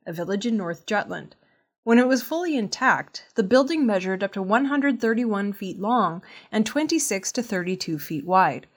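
A woman reading scripted news narration as an audio news presenter, in a measured, clearly enunciated way. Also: medium pitch. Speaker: news reader.

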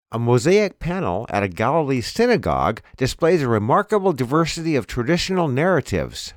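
A man's voice: medium pitch, no echo, clean studio sound. A man hosting a news programme, reading scripted headlines and announcements. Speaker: news podcast host.

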